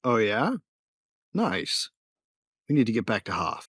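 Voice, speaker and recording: A man's voice: fairly low pitch, sing-song, measured pace, a bit bright, husky, no echo, studio quality, exaggerated voice. A man playing a young bounty hunter in a sci-fi audio drama, telling his story in a wry, laid-back, cocky way. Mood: easygoing in-character. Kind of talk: first-person audio drama narration.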